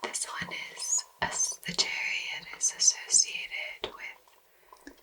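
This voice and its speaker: A woman whispering in a casual ASMR chat, reflective and agreeable, with halting phrasing. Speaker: host receiving a tarot reading.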